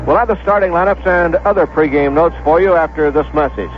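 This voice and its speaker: A man with an American accent and a slightly high voice announces baseball in a measured 1970s radio style. He repeats names so they are clear. The broadcast sounds dull and lo-fi. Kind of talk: 1970s radio sports commentary, pregame lineups. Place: stadium broadcast booth.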